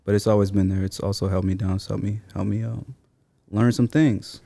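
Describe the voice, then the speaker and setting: A man's voice: fairly low pitch, fairly even intonation, brisk, creaky, dark tone. A man in his thirties from Seattle, born in Somalia, talking reflectively and informally as an interview guest. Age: 30s. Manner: thoughtful, informal slangy phrasing. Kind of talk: reflective casual interview talk.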